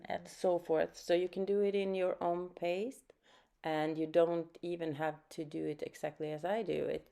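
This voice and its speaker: A woman's voice: on the low side, creaky. A Swedish woman with a Swedish accent, speaking English as she gives an unscripted watercolor painting lesson and thinks aloud as she works. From Sweden. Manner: soft-spoken, thinking aloud with fillers. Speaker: art teacher.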